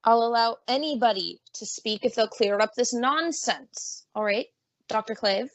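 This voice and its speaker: Lady doing a stuffy exasperated judge, high voice, formal radio comedy acting, dry close sound. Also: moderate pace, dry recording, lo-fi sound, close to an everyday voice. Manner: formal, officious.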